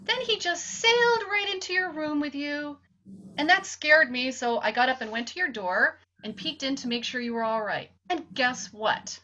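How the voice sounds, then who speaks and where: Woman doing a high, bright mischievous little girl. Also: expressive, normal speed. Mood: mischievous, gleeful. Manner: teasing, eager storytelling. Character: mischievous, artless little sister, young girl. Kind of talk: radio play acting. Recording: dull lo-fi sound, faint noise.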